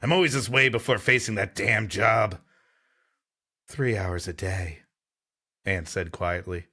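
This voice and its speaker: A man's voice: lowish voice, measured pace, bright, forceful tone, breathy edge. A man co-hosting a podcast, reading a story aloud with dry, wry joking asides. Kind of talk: casual banter mixed with story reading.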